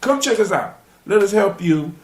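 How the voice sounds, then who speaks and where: A man's voice: sits high, animated, moderate pace. Man hosting a roomy-sounding live show. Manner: informal, rambling, repeats phrases while finding words. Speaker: radio show and podcast host. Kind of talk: casual live show chat and sign-off. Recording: roomy echo, faint music.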